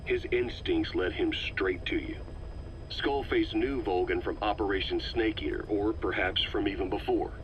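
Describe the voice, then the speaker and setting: Guy voicing a deep calm analyst laying it all out step by step, slightly muffled tape sound. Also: moderate pace, slight background noise, lightly acted. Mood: measured. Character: calm, analytical male agent explaining a conspiracy. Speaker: intelligence briefer on a cassette tape. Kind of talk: scripted game voice acting.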